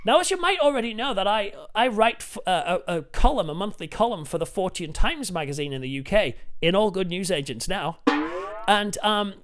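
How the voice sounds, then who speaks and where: A man with a British accent presents a casual review to his viewers. His voice sits a little high, and he speaks with informal, emphatic enthusiasm. Music plays clearly behind him. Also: animated, a little fast, a bit bright. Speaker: host and film reviewer.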